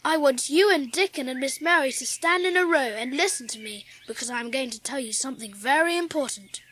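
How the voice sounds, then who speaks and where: A woman's voice: sits high, animated, a little fast, a bit bright. A woman playing an imperious, determined young invalid boy in a dramatised audiobook, speaking in earnest declarations. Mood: commanding, earnest.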